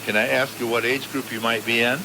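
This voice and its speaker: Male call-in radio host over clear noise. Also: mid-range voice, fairly even intonation, quick pace. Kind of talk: live radio call-in hosting. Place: radio studio. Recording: noisy live radio broadcast.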